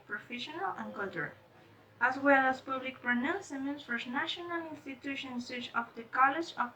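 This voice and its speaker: High, bright-voiced woman presenting from afar. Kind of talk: scripted presentation read aloud. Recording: distant microphone.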